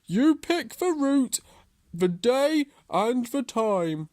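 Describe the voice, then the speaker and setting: A woman voicing a patient, determined tortoise in a children's story, with firm, deliberate, drawn-out phrasing. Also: a little high, very animated, darkish tone, no echo, clean studio sound, voice pushed up, full character voice. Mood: indignant, resolute. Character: steady, patient, determined slow old tortoise.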